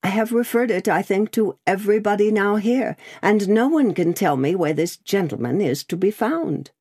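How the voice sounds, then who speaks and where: A woman voicing a courteous, measured elderly bank clerk. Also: mid-range voice, lively intonation, a little mellow, husky, pitched above the performer's own voice.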